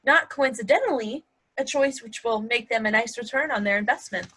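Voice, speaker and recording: A woman's voice: on the high side, a little fast, fairly bright tone, slightly rough. A woman acting in a play reading as a sly, upbeat wife, teasing and persuasive. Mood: assertive. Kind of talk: virtual stage play reading.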